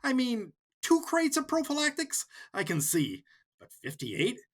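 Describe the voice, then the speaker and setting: A man in a comedic radio play playing a chatty, nervous, dim-witted mob deputy with a husky voice. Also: extremely high pitch, huge pitch swings, measured pace, a bit bright, full character voice. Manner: rambling, eager, giggly wiseguy.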